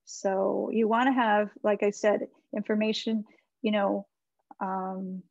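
Creaky-voiced American woman, encouraging advisor. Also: mid-range voice, level tone, slow pace, darkish tone, dry recording. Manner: encouraging, conversational, thinking aloud. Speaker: webinar presenter, website marketing consultant. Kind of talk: informal website critique and advice. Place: small indoor room with bookshelves. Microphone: computer mic on a video call. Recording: slightly dull video-call audio.

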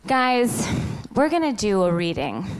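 A woman's voice: mid-range voice, sing-song, measured pace. A woman co-hosting a live podcast from a theatre stage in a casual style. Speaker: podcast co-host. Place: on stage in a theatre before an audience. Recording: noisy live theatre recording.

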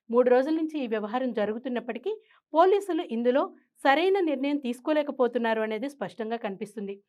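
A woman's voice: high-pitched, darkish tone. A woman presenting scripted news commentary.

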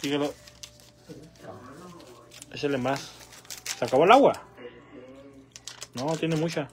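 Higher-voiced man, casual livestream. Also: slow. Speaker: livestream host. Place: small house kitchen and living room, indoors. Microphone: handheld phone. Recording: noisy handheld phone in a kitchen.

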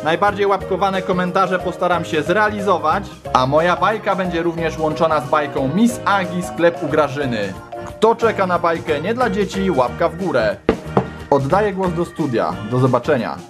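Young guy, slightly high voice, hyped slangy toy vlog host, music playing behind him. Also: animated, normal speed. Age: young adult. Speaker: host and presenter of a toy review show. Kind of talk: playful news-show parody and toy review vlog. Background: background music.

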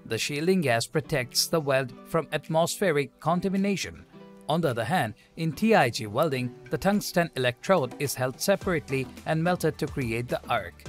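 A man narrating a scripted explainer video in an even, polished delivery without fillers. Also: on the high side, normal speed, soft background music. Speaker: channel narrator.

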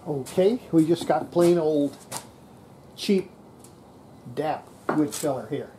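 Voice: medium pitch, slow, dark tone. An older radio repairer giving casual, folksy hands-on commentary while working at a bench in an indoor workshop. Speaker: radio repairer presenting a restoration. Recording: noisy workshop camera audio with clicks.

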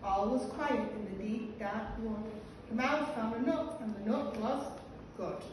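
A woman in her forties reading expressively to children with deliberate, sing-song emphasis. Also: on the high side. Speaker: primary school reception staff member. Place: small classroom, indoors. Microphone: camera a few metres away. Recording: roomy, distant classroom recording, faint noise.

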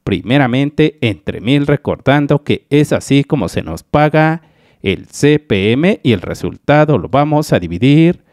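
Man from Mexico, explainer voice-over; animated pitch, dry close sound, methodical walkthrough. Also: a little slow, dry recording. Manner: methodical, addresses viewers as dear friends. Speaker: YouTube channel analyst. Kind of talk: screen-recorded explainer voice-over.

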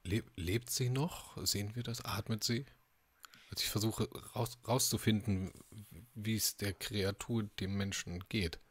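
A man's voice: on the low side, steady tone, unhurried, breathy edge, no echo. A man speaking casually, improvising as a player in an online tabletop game. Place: indoor room at home, video call.

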